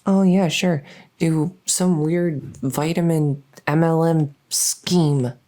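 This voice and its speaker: A woman playing an awkward, earnest fledgling vampire in an ASMR audio roleplay, halting and stammering as she tries to win the listener over. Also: low voice, fairly even intonation, warm tone. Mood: upset, exasperated.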